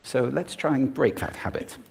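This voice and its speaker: A man's voice: mid-range voice, expressive, moderate pace, vocal fry, darkish tone, slightly rough. A man giving a prepared stage talk, demonstrating voice techniques expressively as he goes. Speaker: public speaker.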